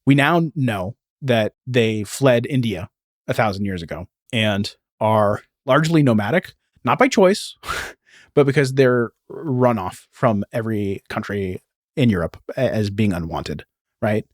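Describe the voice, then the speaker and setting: A man's voice: fairly low pitch, expressive, measured pace, no room sound, studio quality. A man hosting a podcast, telling stories and explaining history in an informal, digressive way with frequent asides. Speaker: podcast host. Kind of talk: casual explanatory storytelling about film and history.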